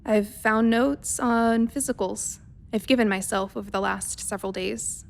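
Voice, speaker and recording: A woman's voice: on the high side, fairly even intonation, unhurried, gravelly. A woman playing a methodical, worried spaceship doctor dictating a research log in a radio play, clinical and precise but hesitant. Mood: worried, faltering.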